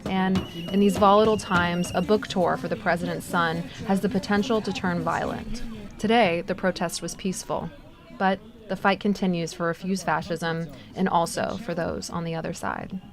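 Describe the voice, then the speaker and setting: A woman's voice: fairly low pitch, steady tone, normal speed. A woman journalist reading a scripted news narration. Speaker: broadcast journalist. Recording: music behind the voice. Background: music.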